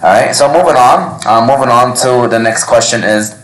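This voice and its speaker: A man's voice: mid-range voice, moderate pace, fairly bright tone. A man of Yemeni background hosting a live podcast panel, talking casually with lots of ums and restarts. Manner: rambling, hesitant with many ums. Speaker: podcast host. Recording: echoey restaurant room.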